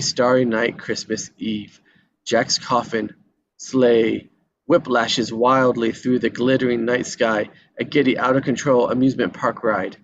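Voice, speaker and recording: A man narrating a screenplay's action lines at a table read, sometimes tripping over and repeating words. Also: medium pitch. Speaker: reader of stage directions. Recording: dull lo-fi sound with background noise.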